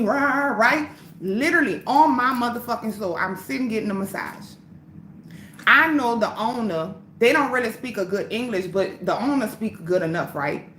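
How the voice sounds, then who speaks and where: High-pitched woman going off on a livestream, swearing a lot, echoey room with a bit of ambience. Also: a bit bright, slight background noise. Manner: heated, profane, preachy. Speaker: livestream host. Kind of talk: profane livestream rant.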